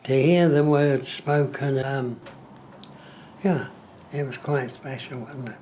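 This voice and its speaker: A man in his nineties from London speaks in a soft-edged, mellow voice. He is a veteran giving a reflective interview, with faint noise on the documentary recording. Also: mid-range voice, steady tone, takes it slowly. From London, England. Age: 90s.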